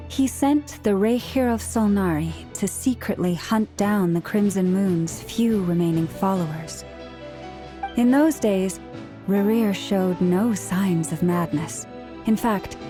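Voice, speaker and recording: A woman's voice: medium pitch, slow pace, music in the background, lightly acted. A woman voicing an earnest young woman in a video game, explaining things sincerely.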